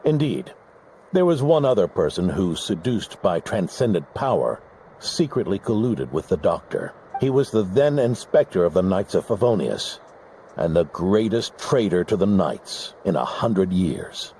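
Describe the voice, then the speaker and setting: A gravelly old knight. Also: male voice, low-pitched, very animated, mellow, a little hiss or hum, full character voice, low-quality recording. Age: older. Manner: measured, storytelling gravity. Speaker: game character.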